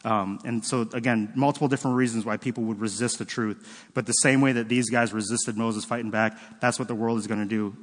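A man preaching a sermon in a relaxed, conversational way, often stopping to correct himself and using fillers. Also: lowish voice, long reverb tail. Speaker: pastor. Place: church sanctuary.

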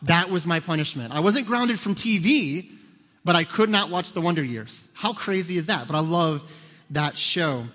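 A man's voice: a little high, expressive, normal speed, pushed and bright. A man in his thirties preaching a conversational sermon, joking and quizzing the congregation in a church auditorium. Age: 30s. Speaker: pastor. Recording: long hall reverb.

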